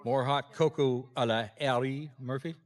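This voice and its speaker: An actor playing a wry, easygoing private detective in a radio drama, with a dry, teasing delivery. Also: male voice, medium pitch, steady tone, moderate pace, close to an everyday voice.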